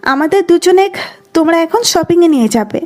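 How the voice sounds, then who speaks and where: Woman playing high-voiced, bossy young sweetheart. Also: lively intonation, brisk, darkish tone. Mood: playfully demanding. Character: bossy, jealous, playful young woman in love. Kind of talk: dramatised audio story dialogue.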